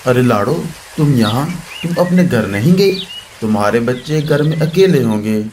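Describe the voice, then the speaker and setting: A man plays a brotherly crow from a children's cartoon. He sounds earnest and protective at a medium pitch, with clear bird-filled noise behind him. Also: animated, moderate pace, darkish tone, close to an everyday voice. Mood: concerned.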